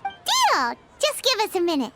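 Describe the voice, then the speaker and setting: A woman's voice: very high-pitched, wildly swooping pitch, unhurried, heavily acted. A woman voicing a chirpy, childlike little fairy companion in a video game, bubbly and full of exclamations. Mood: cheerful. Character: chirpy tiny floating fairy girl companion, excitable and childlike. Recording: game music behind the voice.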